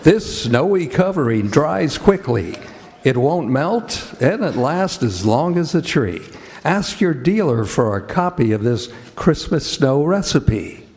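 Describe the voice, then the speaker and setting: A man's voice: fairly high pitch, very animated, slow, a little mellow. A man announcing from a script in old-time radio style. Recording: echoey hall, long reverb.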